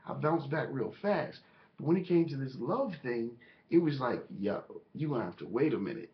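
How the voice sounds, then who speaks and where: A man's voice: medium pitch, lively intonation, moderate pace. A man in his thirties, a minister, talking earnestly and reflectively about his own life, rambling with restarts and fillers. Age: 30s. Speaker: minister and vlogger. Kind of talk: reflective personal monologue. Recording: slightly dull lo-fi audio.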